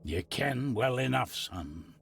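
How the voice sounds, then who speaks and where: An actor playing a gruff, old-fashioned, superstitious older Scottish gardener in a video game, blunt and guarded, speaking in dialect. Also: male voice, mid-range voice, expressive, takes it slowly, a little hiss or hum. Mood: sorrowful. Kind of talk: dramatic game cutscene dialogue.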